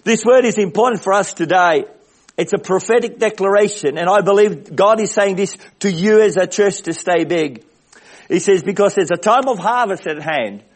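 A man preaches a sermon in a big, booming voice that sits high in pitch. He hammers his points home by repeating short phrases. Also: normal speed. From Australia. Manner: big booming voice, emphatic repetitions. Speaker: visiting preacher. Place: church service.

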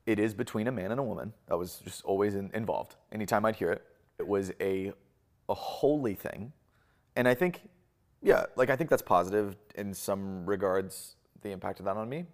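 Young man with a low voice. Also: lively intonation, moderate pace. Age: 20s. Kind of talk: casual reflective interview answers.

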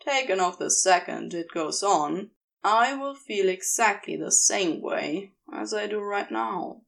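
A woman voicing a gentle but commanding male demon, an affectionate lover who speaks with deliberate reassurance. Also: medium pitch, lively intonation, creaky. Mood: tender, reassuring.

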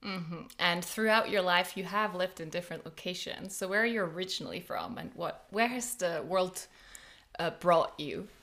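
This woman hosts an interview show, and her voice sits low with a gravelly fry. She talks softly and earnestly and drops into a breathy hush at moments. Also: lively intonation, moderate pace. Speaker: podcast host. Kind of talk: gentle interview hosting.

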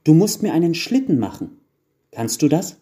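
A man voicing excitable little gnome children who beg and pester in a storybook tale. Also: fairly high pitch, moderate pace, voice pushed up. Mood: eager, demanding. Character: pleading, excitable gnome children begging for toys.

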